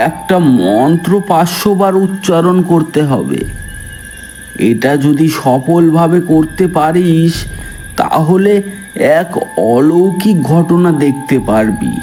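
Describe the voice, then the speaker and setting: A grave village uncle, played by a man, telling a cartoon tale in a mellow, fairly high voice. He speaks slowly and instructively, like one who knows the lore. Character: village uncle, grave knowing storyteller and adviser. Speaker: narrator and village elder character. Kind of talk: scripted cartoon storytelling.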